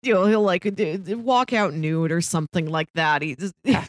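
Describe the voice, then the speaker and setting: A woman's voice: on the low side, expressive, moderate pace, no echo. A woman co-hosting a podcast, chatting casually and expressively about a TV show. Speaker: podcast co-host.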